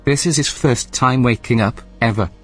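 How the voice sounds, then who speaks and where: An actor playing a literal, unflappable station computer assistant with a formal, deadpan manner in a science-fiction audio drama. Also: male voice, mid-range voice, normal speed, breathy edge, soft background music, natural voice. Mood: deadpan, matter-of-fact.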